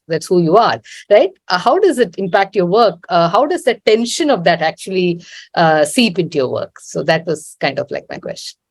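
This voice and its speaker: A woman's voice: mid-range voice, a little mellow, dry recording. Thoughtful woman interviewer, dry sound. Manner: thoughtful, rambling questions with fillers. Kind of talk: casual conversation.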